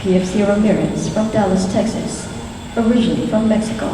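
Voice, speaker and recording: A woman announcing at a formal ceremony, reading names and hometowns slowly and deliberately over a public address system. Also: medium pitch, heavy background noise. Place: large hall at a ceremony. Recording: distant PA voice in an echoey hall with a loud crowd.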